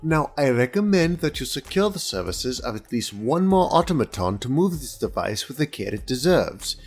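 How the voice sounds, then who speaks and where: An actor playing a polite, exacting, condescending senior inspector in an audio drama, speaking in a formal, measured, officious manner with a husky voice. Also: male voice, medium pitch, very animated. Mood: politely commanding. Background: music.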